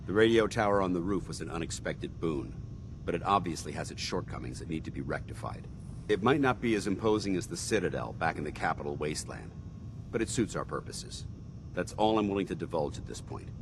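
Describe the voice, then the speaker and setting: An actor playing a stern, guarded military squad leader in a video game, formal, clipped and commanding. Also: male voice, low-pitched, expressive, brisk, noisy background.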